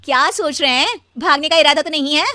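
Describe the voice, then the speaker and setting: Nagging young wife for a cartoon female performer: high, very animated, demanding, close dry sound. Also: normal speed, no room sound, faint music behind. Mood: teasing. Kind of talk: animated cartoon story dialogue.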